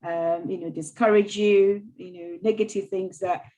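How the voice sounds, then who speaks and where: Soft-spoken woman, halting speech. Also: medium pitch, fairly even intonation, poor audio. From Ghana. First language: not English. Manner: soft, halting, with many fillers and restarts. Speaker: mayor, interview guest. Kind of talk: casual interview conversation.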